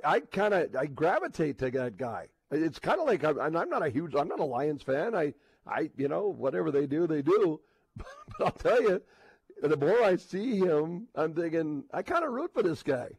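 A man hosting radio sports talk, thinking aloud in a casual, halting, rambling way with repeated words. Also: a little high, very animated, darkish tone, husky, no echo. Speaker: sports radio host, live on location.

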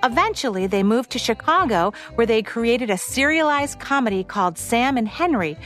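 A woman co-hosting a podcast, reading scripted history of old radio in a smooth, even way. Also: fairly high pitch, moderate pace, soft background music. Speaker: podcast co-host.

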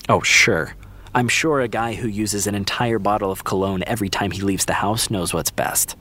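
A man's voice: lowish voice, fairly even intonation, moderate pace, pushed and bright. A young American man acting as a laid-back, supportive friend in a fiction podcast, with casual, teasing, slangy delivery. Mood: frank, supportive. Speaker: voice actor. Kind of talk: naturalistic fiction podcast dialogue.

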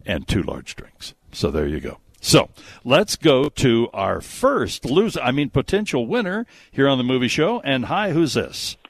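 Bantering American radio host with swooping delivery. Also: male voice, mid-range voice, huge pitch swings, unhurried, no room sound. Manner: jokey, teasing callers. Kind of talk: bantering radio prize-giveaway patter with callers.

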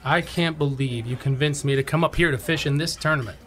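A man's voice: on the high side, a little fast, fairly bright tone, lightly acted. A man playing a grumbling, self-important pro angler and impatient husband in a radio play. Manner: impatient, complaining.

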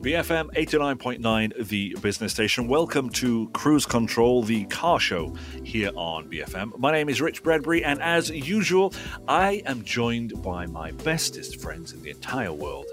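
A man's voice: medium pitch, big pitch swings, moderate pace. Guy hosting a car show on the radio, jokey banter, bouncy voice, music playing under him. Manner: jokey, self-mocking banter. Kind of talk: casual radio chat. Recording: music bed behind.

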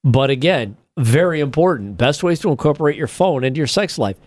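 A man's voice: a little high, lively intonation, a little fast, a little mellow, no echo. A man co-hosting a casual comedy podcast, reading out tips and facts with wry, offhand asides and casual swearing.

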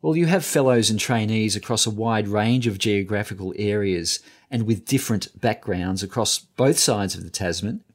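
Interviewer guy, lowish voice, short polite questions. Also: normal speed, few pauses.

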